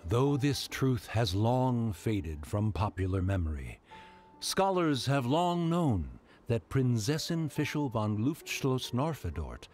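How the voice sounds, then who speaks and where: A solemn storybook narrator, with a mid-pitched voice and lively, theatrical swells. The delivery is grandiose and reverent, like a fantasy game legend, over clear music. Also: male voice.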